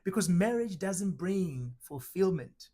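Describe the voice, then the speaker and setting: A middle-aged man from Tanzania giving a spiritual teaching talk, slow and emphatic, asking rhetorical questions. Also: sits high, lively intonation, dark tone. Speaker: identity transformation coach.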